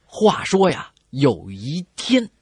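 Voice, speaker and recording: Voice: medium pitch, wildly swooping pitch, takes it slowly, slightly rough, studio quality. A comedy radio host telling scripted jokes as banter, with theatrical exclamations and questions. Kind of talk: scripted comic banter and joke storytelling. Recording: roomy echo with music behind.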